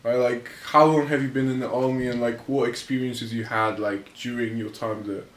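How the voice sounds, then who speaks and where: A man's voice: mid-range voice, fairly even intonation, moderate pace. A teenage boy from Poland hosting a casual conversation, speaking hesitantly with lots of fillers. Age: teens. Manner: hesitant, filler-heavy questioning. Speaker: host. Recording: echoey room with faint ambience.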